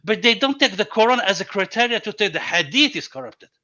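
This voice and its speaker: High bright male voice, dull call audio. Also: animated. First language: Arabic. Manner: halting, repeats words before each point. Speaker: debate guest. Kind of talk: heated debate on a video call. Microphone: video call.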